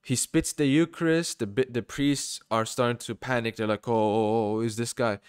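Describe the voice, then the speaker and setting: A man's voice: medium pitch, steady tone, moderate pace, clean tone, dry recording, clean studio sound. Man giving emphatic, informal religious commentary. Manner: emphatic, rhetorical repeated questions, colloquial asides.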